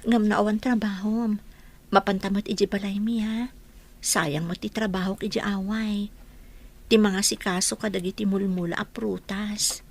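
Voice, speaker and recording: A woman with an Ilocano accent playing a gentle, forgiving young wife in a radio drama. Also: mid-range voice, steady tone, moderate pace, close to an everyday voice. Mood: tender.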